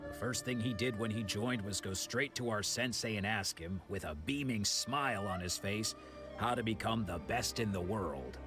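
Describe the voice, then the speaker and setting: An actor voicing a proud older male swordsman in a game, telling of his old training days in a measured, reflective way. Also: medium pitch. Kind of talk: video game voice acting. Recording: game audio under background music.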